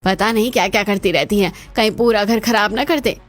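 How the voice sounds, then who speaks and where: A woman's voice: medium pitch, a little fast, close to an everyday voice. A woman voicing housewives and mothers-in-law in a family cartoon, chatty and exclamatory. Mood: grumbling. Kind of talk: scripted cartoon dialogue.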